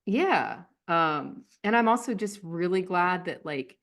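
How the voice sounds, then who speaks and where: A woman co-hosting a podcast, chatting casually in a relaxed, reflective and agreeable way. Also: fairly low pitch, slow pace. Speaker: podcast co-host. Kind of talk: casual chat.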